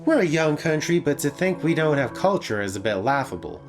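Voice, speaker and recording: Man putting on a brash, higher-pitched Aussie lady cabbie. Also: very animated, fairly quick, over music. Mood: matter-of-fact. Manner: offhand, slangy, cheeky. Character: brash, reckless, thrill-seeking female Australian taxi driver. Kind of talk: character voice in a visual novel read-aloud.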